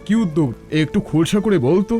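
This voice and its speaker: A man voices a kindly village schoolteacher in a cartoon, speaking in a slightly high voice. He is fatherly and encouraging, with music underneath. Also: quick pace, mellow, lightly acted.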